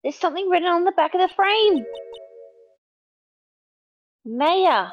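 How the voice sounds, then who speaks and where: A woman's voice: very high-pitched, animated, dull audio, faint music behind. A woman voicing a sweet, distraught little girl who is easily alarmed and exclaims in a game fan dub. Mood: alarmed, excited. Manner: gasping, exclaiming, halting when upset.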